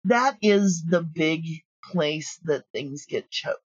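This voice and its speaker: A woman's voice: sits low, expressive, slow, slightly rough. A woman speaking casually as an interview guest, frank, practical and emphatic. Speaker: guest, audio drama creator. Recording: roomy, dull, lo-fi audio.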